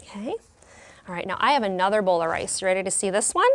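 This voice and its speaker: A woman in her forties teaching a class of young children, speaking slowly and expressively in an elementary classroom. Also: mid-range voice, animated, a bit bright. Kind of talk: classroom read-aloud and teaching.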